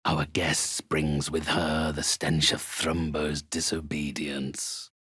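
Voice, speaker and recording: Slow, husky, haughty undead necromancer. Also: male voice, deep voice, bright, forceful tone, no echo, studio quality, exaggerated voice. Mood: cold menace. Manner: imperious, grandiloquent, slow and drawn-out menace. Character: haughty, sinister undead necromancer and spirit medium, male. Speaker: video game villain. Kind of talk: theatrical video game voice acting.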